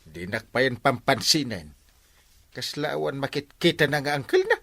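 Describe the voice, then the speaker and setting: A man's voice: medium pitch, big pitch swings, a little slow, creaky, sharp tone, full character voice. A man with an Ilocano accent playing an exasperated village captain and scolding uncle in a radio comedy drama, exclaiming and nagging. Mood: resigned, complaining.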